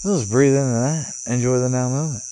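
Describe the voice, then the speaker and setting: A man's voice: mid-range voice, dark tone, a little hiss or hum, poor audio. Musing man outdoors with insects chirping. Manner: casual, musing, phrases broken by pauses. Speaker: vlogger and spiritual guide. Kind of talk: rambling off-the-cuff spiritual monologue. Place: outdoors, sitting in a rocking chair. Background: cicadas or crickets.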